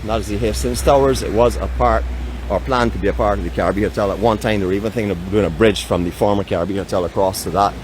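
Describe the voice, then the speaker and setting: A man's voice: fairly low pitch, quick pace. A Barbadian man hosting a live walking-tour vlog, chatting and joking about himself as he walks outdoors by a beach boardwalk, phone in hand. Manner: chatty, joking self-mockery. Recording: outdoor street noise behind.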